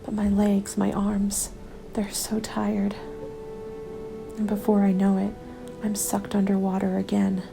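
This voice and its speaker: A woman narrating a scripted first-person ASMR story in a soft, measured, often whispery delivery. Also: medium pitch, monotone, gravelly. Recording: background music under the voice. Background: music.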